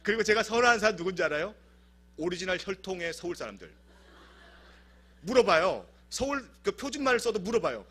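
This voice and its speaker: A man from South Korea preaching a sermon in church, telling stories in an animated, humorous way. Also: high voice, a little fast, breathy edge. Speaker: pastor. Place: church service.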